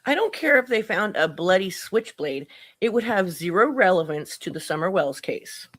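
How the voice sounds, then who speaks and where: A woman's voice: on the low side, very animated, moderate pace, a bit bright. Blunt woman giving casual commentary. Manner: blunt, mocking, colloquial. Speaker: host of a commentary channel.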